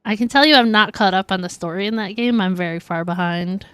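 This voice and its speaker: A woman's voice: medium pitch, fast, fairly bright tone, slight background noise. A woman livestreaming a video game, chatting casually and playfully with her viewers as she plays. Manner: laughs easily, playful reactions. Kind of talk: casual chat with viewers while playing.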